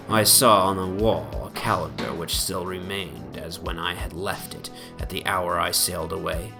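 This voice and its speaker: Male narrator, lowish voice, solemn theatrical horror storytelling with clear music underneath. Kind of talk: dramatic reading of a horror fantasy story. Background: prominent music soundscape.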